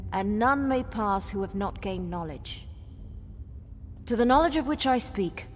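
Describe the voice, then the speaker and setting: A woman's voice: mid-range voice, natural voice. An actress playing a solemn, ancient female spirit guardian who speaks cryptic riddles ceremonially in a fantasy game. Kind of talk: theatrical fantasy game dialogue. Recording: cavernous long reverb with background noise.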